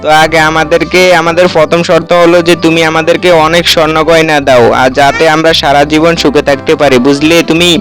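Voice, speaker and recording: A man's voice: on the high side, little pitch movement, quick pace, hardly any pauses, a bit bright, soft background music, close to an everyday voice. A man playing a confident, protective older brother, a clever boy in a children's fairy-tale cartoon, speaking reassuringly.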